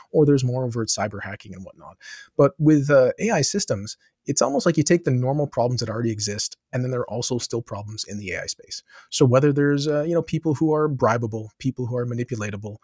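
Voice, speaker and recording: Fast-talking husky-voiced man. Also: medium pitch, a little mellow, no room sound, clean studio sound. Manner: thoughtful, rambling with many asides and restarts. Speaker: podcast guest. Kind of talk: conversational interview answers.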